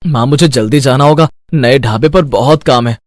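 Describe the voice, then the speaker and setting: A man's voice: mid-range voice, normal speed, noisy background, natural voice. A man playing an earnest, hardworking young cook in a Hindi moral cartoon story, speaking emphatically. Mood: eager, determined. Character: earnest hardworking young son, a poor dhaba okra cook.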